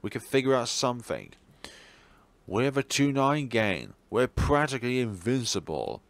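A man acts out an optimistic teenage schoolboy, the caring best friend in a visual novel. He speaks earnestly, with very animated pitch. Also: unhurried, vocal fry, dry recording. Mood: cheerful, rallying.

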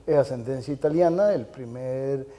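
A man in his sixties hosting a televised interview, speaking in a deliberate way with long hesitations. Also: mid-range voice, dark tone. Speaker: interview show host. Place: brick-walled indoor room, television set. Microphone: lapel mic. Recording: reverberant room echo.

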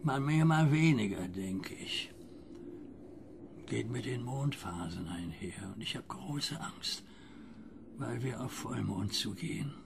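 An actor playing an old, fearful, guilt-ridden neighbour in a radio drama, halting and intense, often sinking toward a whisper. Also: male voice, medium pitch, gravelly. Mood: anxious. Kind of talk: German radio drama acting. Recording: noisy ambient background.

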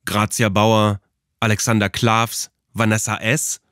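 A man's voice: lowish voice, little pitch movement, measured pace, a bit bright, clean tone, no room sound, clean studio sound. A man reading a scripted documentary narration for a podcast.

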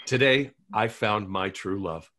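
A man's voice: fairly low pitch, unhurried. A man reading the part of an eager, lovesick young radio host at a table read. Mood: upbeat. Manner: eager and excitable.

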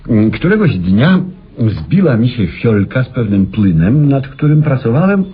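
An actor playing an eccentric, obsessive male inventor in a radio play, telling his story in a theatrical, halting way with dramatic pauses. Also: medium pitch, big pitch swings, husky, heavily acted. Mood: reminiscing. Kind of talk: Polish radio play acting. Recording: dull old radio drama recording.

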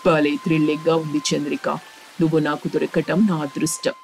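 A man voicing a devoted, agreeable young boyfriend in an earnest, measured way for a cartoon story. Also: fairly high pitch, flat delivery, gravelly, slight background noise. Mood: affectionate.

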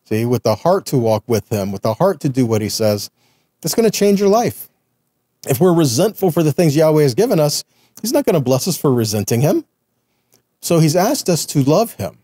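A man's voice: medium pitch, warm tone, no echo, clean studio sound. A middle-aged man giving conversational Bible teaching in an earnest manner, often checking in with the listener. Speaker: Messianic ministry teacher.

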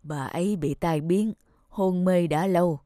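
An actor voicing a gruff, grieving old farmer in a cartoon, speaking slowly and sternly. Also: male voice, sits high, warm tone, dry recording. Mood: sorrowful. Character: gruff, grieving old farmer guarding his lavender garden. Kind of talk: cartoon voice acting. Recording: music under the voice.

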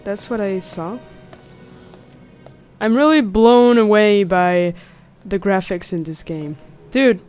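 Unhurried young woman doing game commentary. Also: mid-range voice, smooth, faint music behind. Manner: excited, reactive, chatty. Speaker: gamer, host of a let's play series. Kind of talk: casual let's play commentary. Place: indoors, close at a desk. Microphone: studio mic on a boom arm, headset on. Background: faint game soundtrack.

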